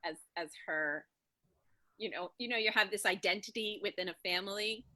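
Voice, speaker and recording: A woman with a bright, forceful voice of average pitch talks through character ideas on a video call. She is informal and rambling, circling back on her words, in a dry-sounding space. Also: normal speed, dry recording. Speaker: voice actor in a serialized audio drama.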